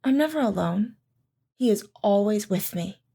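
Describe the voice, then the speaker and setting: A devoted military wife, played by a woman in an audio drama, reminiscing about her husband. Her voice is warm and mellow at an average pitch for a woman. She tells it gently, with wistful tenderness. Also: animated, normal speed, gravelly, husky. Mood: wistful, steadfast.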